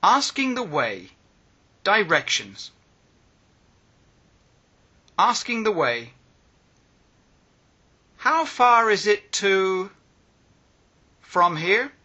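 A man slowly reading short phrasebook sentences one by one, with pauses between phrases, as a language lesson. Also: high-pitched, very animated, bright, forceful tone, breathy edge, muffled recording. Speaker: language teacher.

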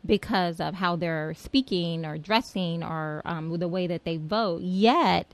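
A woman's voice: fairly low pitch, slow. A woman hosting a radio talk show, speaking emphatically and in an engaged way with her guests. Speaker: host.

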